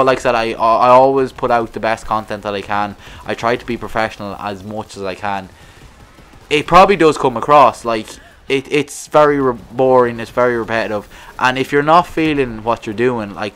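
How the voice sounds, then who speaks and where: A man's voice: on the low side, a bit bright. A man in his twenties with a thick rural Irish accent, talking casually and rambling to camera as a gaming channel host. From Ireland. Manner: rambling, restarts, frequent "like I said".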